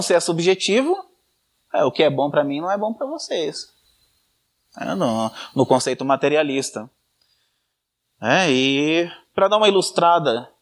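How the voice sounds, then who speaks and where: Man giving a church talk. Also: on the high side. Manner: frequent "né?" tags, restarts, lecturing. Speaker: church speaker. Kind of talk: sermon teaching.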